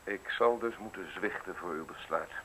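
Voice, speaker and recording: An actor playing a conflicted, polite state prosecutor in a radio play, speaking in a formal, measured, courteous way on a hissy old recording. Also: male voice, low voice, fairly bright tone, low-quality recording. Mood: resigned, earnest. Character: conflicted male state prosecutor, polite, evasive family man. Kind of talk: Dutch radio play acting.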